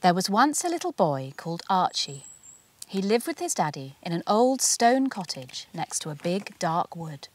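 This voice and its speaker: A woman narrating a children's picture book as its author, in an expressive, measured storytelling manner. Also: lowish voice. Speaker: author reading their own picture book.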